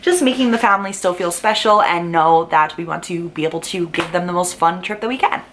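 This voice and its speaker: A young adult woman talking to camera as a vlogger, walking through her prepared notes in an upbeat manner, lifting her pitch as she starts each new point. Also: a little fast, a bit bright, slight background noise.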